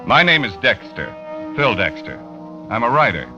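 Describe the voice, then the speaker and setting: A man's voice: mid-range voice, very animated, moderate pace. An actor playing a matter-of-fact American magazine writer, narrating his investigation in an old radio drama. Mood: measured, factual. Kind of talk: 1940s-50s radio drama first-person narration. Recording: muffled vintage radio recording with music.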